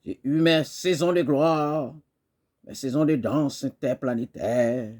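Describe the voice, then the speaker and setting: A man reciting poetry dramatically, in an impassioned, declamatory way with emphatic pauses. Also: medium pitch, a little mellow. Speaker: poet reciting.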